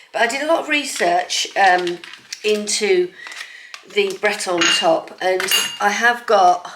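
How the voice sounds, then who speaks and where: Chatty woman with a cold. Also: medium pitch. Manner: chatty, rambling, lots of ums. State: bunged up with a cold. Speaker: sewing vlogger. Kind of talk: casual chatty vlog. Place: home kitchen. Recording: echoey kitchen with faint background noise.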